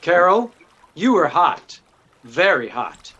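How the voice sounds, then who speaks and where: An actor playing a witty, self-assured detective, explaining things in an unruffled, dryly courteous way in 1940s radio drama style. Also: male voice, on the high side, sing-song, takes it slowly, sharp tone, slightly rough, lo-fi sound, exaggerated voice. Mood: explaining coolly. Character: witty, self-assured male amateur detective laying out his deduction.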